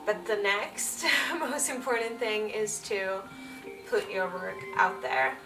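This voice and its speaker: Slow-talking Finnish woman, mic set back. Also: mid-range voice, far from the mic, over music, low-quality recording. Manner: relaxed, chatty, laughs lightly. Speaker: illustrator vlogger. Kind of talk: casual Q&A chat.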